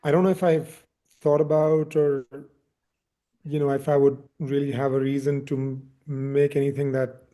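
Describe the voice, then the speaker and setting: A man's voice: medium pitch, flat delivery, moderate pace, dark tone. A man from India speaking as an interviewed film director, reflective, often repeating words as he searches for phrasing.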